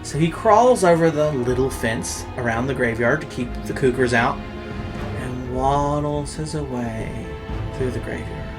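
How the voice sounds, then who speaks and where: A storyteller host telling a horror tale in a pompous, campy, theatrical way, with dramatic pauses and drawn-out words. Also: medium pitch, over music. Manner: pompous, campy, self-flattering showmanship.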